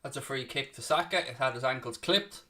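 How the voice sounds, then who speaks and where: A young Irishman with a Dublin accent and a bright, forward voice hosts a live football watchalong. He talks casually and bluntly, breaking off to call the action and answer viewers, swearing now and then. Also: medium pitch, a little fast. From Ireland. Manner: chatty, blunt, answers viewer questions between play-by-play calls, occasional swearing. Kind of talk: casual live match commentary and chat with viewers.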